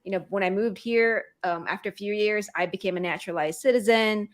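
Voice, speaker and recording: A woman's voice: medium pitch, brisk. A woman from the Philippines co-hosting a podcast, talking casually and a bit hesitantly. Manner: easygoing, hesitant with many "um" and "you know". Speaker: podcast co-host.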